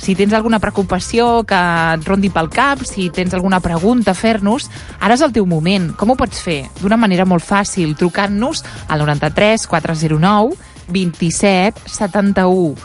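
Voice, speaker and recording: A woman's voice: medium pitch, normal speed, faint music behind. A woman with a Catalan accent hosting a radio health show, chatting casually and laughing often. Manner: bubbly, laughs often, trails off.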